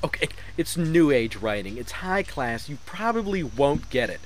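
A childish, conceited author, acted by a man in a comedy audio play. His fairly high voice swings widely as he blusters pompously, with faint noise in the recording. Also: big pitch swings, normal speed. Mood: defensive, blustering. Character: conceited, defensive hack author, childish and lazy. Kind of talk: comedic audio drama acting. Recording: noisy amateur mix, faint hiss.

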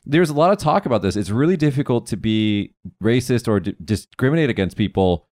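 A man's voice: mid-range voice, animated, fairly quick, a little mellow, no room sound. A man hosting a podcast, talking thoughtfully in casual conversation with frequent restarts and fillers. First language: English. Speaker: podcast host.

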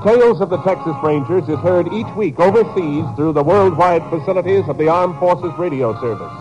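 A man's voice: a little high, expressive, fairly quick, poor audio. An American man announcing a 1950s radio program in a formal, scripted style. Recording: old radio recording with music.

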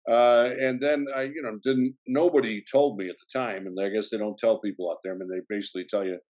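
Low-voiced Chicago man, rambling. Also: fairly even intonation, fast talker, darkish tone, lo-fi sound. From south side of Chicago. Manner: rambling, full of "you know" fillers and restarts. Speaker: actor guest. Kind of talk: interview storytelling.

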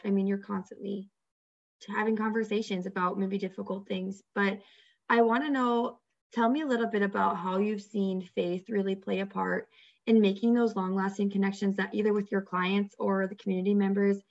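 Creaky-voiced woman interviewer, slightly dull sound. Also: mid-range voice, fairly even intonation, a little fast. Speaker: interviewer, host. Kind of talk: conversational interview questioning.

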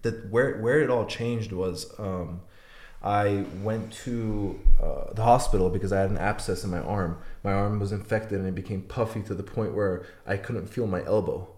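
A man's voice: fairly low pitch, moderate pace, a little mellow, roomy sound. A young man, born in Iran, telling his story as a podcast guest, blunt and swearing freely. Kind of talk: podcast interview.

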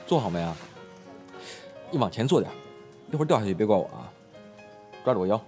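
Brash teenage boy, animated swings in pitch, slangy and teasing, audio drama with faint music. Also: brisk. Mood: bossy, teasing. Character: brash, foul-mouthed teenage schoolboy, playful and teasing. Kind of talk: Chinese audio drama acting.

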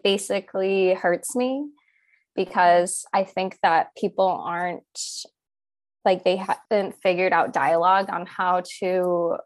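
Woman, hesitant, searching for words, very slow, lowish voice. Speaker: interviewee. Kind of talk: personal opinion.

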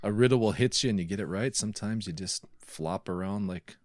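A man's voice: lowish voice, brisk, no room sound, studio quality. A man co-hosting a podcast, chatting casually with the others. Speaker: podcast co-host.

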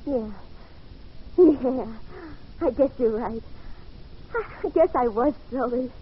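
An actress playing an anxious, naive young American wife in a 1940s radio drama, with a hesitant, stammering manner. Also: female voice, high-pitched, animated, slow, a little hiss or hum. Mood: sheepish, relieved. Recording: muffled vintage radio recording.

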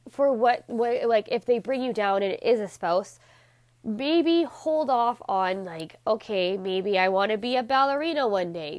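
A woman with a stuffy, congested nose and a slightly raspy voice, giving a chatty motivational monologue and acting out quoted people in animated voices. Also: a little high, dry recording.

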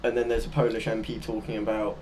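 A man's voice: lowish voice, monotone, fast talker, darkish tone. A man co-hosting a casual discussion of current affairs, speaking informally and tentatively, often correcting himself. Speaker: co-host. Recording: noticeable background noise.

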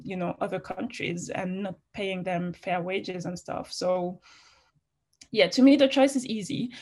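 Chatty woman with a French accent. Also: mid-range voice. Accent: French, with some British. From France. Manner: chatty, frank, with frequent fillers and restarts. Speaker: interview guest, fashion writer. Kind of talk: casual interview answers.

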